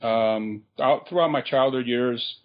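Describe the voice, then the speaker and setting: A man from Brazil, a podcast guest telling his life story casually, pausing often with ums and uhs. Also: medium pitch, lo-fi sound. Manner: halting, with frequent ums and uhs.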